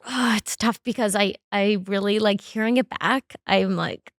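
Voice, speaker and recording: A young woman, a guest comedian, with a high-pitched voice, chatting in a bubbly way with many repeated "yeah"s and giggles. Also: steady tone, moderate pace, no echo, studio quality. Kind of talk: casual podcast chat.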